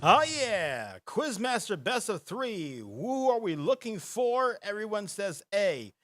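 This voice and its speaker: A man hosting a casual live podcast, chatting and reading quiz questions in a rambling way and laughing often. He has a booming radio-style voice. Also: sing-song, slow, music in the background. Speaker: podcast host.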